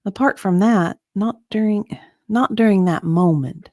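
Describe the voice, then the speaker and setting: A woman's voice: medium pitch, mellow, no room sound, studio quality, lightly acted. Woman reading as a hesitant female deposition witness. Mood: puzzled, defensive. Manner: halting, hesitant, trailing off. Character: hesitant, forgetful female witness being questioned in a legal deposition. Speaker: reader in a dramatic reading. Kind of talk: dramatic reading of deposition testimony.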